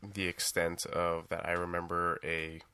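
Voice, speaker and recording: A man co-hosting a gaming podcast, with a baritone voice and a laid-back, teasing manner. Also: flat delivery, unhurried, pushed and bright, clear, resonant tone, no echo. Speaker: podcast co-host. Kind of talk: casual podcast chat.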